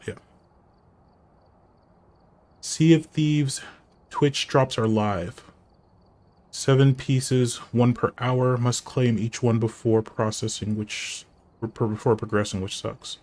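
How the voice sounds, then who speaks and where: A man's voice: mid-range voice, a little mellow. A man with a nasal voice streaming live, chatting casually and rambling to himself and his chat while he sets up.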